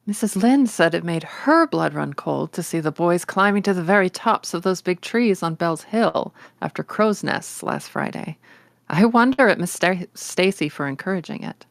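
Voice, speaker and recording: A woman's voice: on the low side, animated, moderate pace, creaky, no echo. A woman voicing a stern, disapproving middle-aged spinster in a storybook, tart and no-nonsense.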